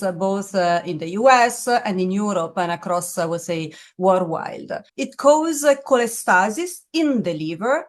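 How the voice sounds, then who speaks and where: A middle-aged woman with a clear Italian accent gives interview answers in a medium-pitched voice. She speaks in measured phrases, filling gaps with soft hesitations and restarting now and then as she searches for words. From Italy. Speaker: pharmaceutical medical officer.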